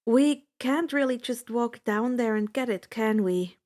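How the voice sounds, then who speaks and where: A woman's voice: a little high, unhurried, darkish tone, lightly acted. Woman playing earnest, wary young hero.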